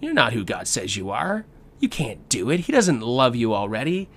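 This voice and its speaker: A man's voice: mid-range voice, huge pitch swings, moderate pace. A man voicing a snarky, critical adversary spirit, goading and taunting in a sermon retelling. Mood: accusing.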